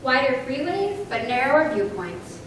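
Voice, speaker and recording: High-voiced woman in a very echoey hall. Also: very animated, normal speed. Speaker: readers theater performer. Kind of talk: dramatic reading on stage. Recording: very echoey hall, far microphone, noisy hiss.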